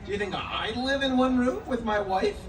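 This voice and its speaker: A man playing a wry village rabbi in a live puppet show, measured and knowing, drawing out his words. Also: extremely high pitch, background noise. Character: wry, unhurried village rabbi, a male sage. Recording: echoey live show, distant mic.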